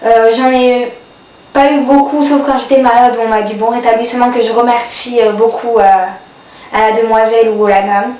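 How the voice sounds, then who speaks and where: A woman's voice: on the high side, steady tone, moderate pace. Hesitant woman with a cold, echoey lo-fi video. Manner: hesitant, many fillers, keeps saying "voilà". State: has a cold, runny nose and cough. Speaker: vlogger showing sale purchases. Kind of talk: casual haul video. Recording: echoey, distant, dull lo-fi home video.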